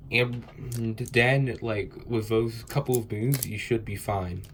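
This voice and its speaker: A man's voice: lowish voice, steady tone, slow pace, fairly bright tone, a little hiss or hum. A young man with a stuffy, congested voice from a cold, casually explaining puzzle steps in a loose, rambling way. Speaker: cubing tutorial host.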